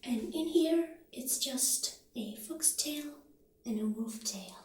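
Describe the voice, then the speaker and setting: Slow, soft-spoken woman in ASMR roleplay. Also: high voice, expressive. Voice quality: soft, breathy, often near whispering. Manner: gentle, unhurried, halting. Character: friendly witch shopkeeper showing potion ingredients. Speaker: ASMR performer playing a witch shopkeeper. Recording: roomy echo, faint background noise.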